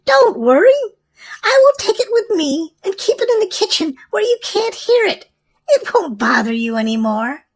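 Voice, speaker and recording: Woman acting a very high, caring granny. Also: sing-song, normal speed, heavily acted. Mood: soothing. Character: caring, slightly weary grandmother soothing a child. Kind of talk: children's storybook read-aloud.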